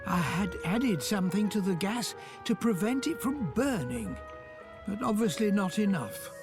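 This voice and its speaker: A man's voice: high voice, very animated, a little slow, music in the background, exaggerated voice. An actor voicing a fussy older male inventor who explains things patiently with a touch of condescension. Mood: explaining. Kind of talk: game voice acting.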